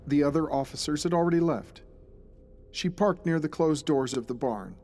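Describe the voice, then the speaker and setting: An actor playing a grave storyteller, narrating a friend's dark case in a scripted audio drama. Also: male voice, on the high side, moderate pace, close to an everyday voice. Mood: grave, ominous.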